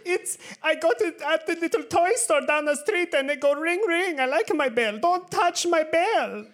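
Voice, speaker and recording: A woman acts out a possessive young brother in a comic fairy tale. The voice sits high, with a playful, mock-whiny theatrical delivery made for improvised squabbling. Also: expressive, normal speed. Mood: bratty, playful. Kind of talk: improvised comedy storytelling acting.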